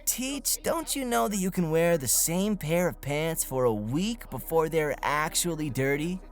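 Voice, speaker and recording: A man's voice: fairly high pitch, animated, unhurried. A man playing a laid-back, cocky young student with a casual, breezy drawl in a comedy audio drama.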